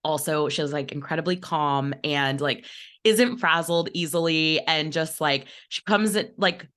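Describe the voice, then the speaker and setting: A woman's voice: sits low, measured pace, fairly bright tone. A young woman chatting casually as co-host, upbeat and filling her talk with "like", a little sick. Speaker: operations manager and co-host. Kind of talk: casual chat.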